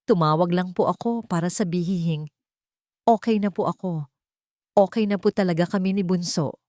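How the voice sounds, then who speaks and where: A woman voicing a humble young housemaid in an audiobook, calm, polite and tender. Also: on the low side, normal speed, no room sound, clean studio sound, natural voice. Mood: calm, reassuring. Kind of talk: dramatic audiobook dialogue.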